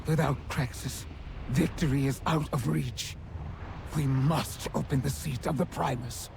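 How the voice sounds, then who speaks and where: A woman's voice: extremely low pitch, steady tone, measured pace, creaky, background noise, exaggerated voice. A woman playing a stern orc warrior baroness in a fantasy game, speaking in a solemn, commanding manner. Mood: urgent, commanding. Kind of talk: dramatic fantasy game dialogue. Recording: loud music behind.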